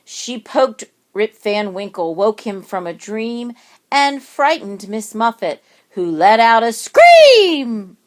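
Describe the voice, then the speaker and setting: Slow-paced woman reading a rhyming storybook. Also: fairly high pitch, big pitch swings. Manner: deliberate, dramatic word emphasis. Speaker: children's picture book reader. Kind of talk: expressive read-aloud of rhyming story.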